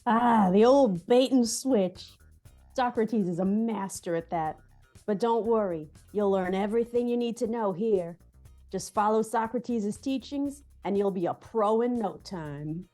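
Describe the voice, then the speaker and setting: A woman's voice: mid-range voice, a little slow, dark tone, faint music behind, close to an everyday voice. A woman acting an easygoing pickup-school veteran in a comedic table read, reassuring and encouraging.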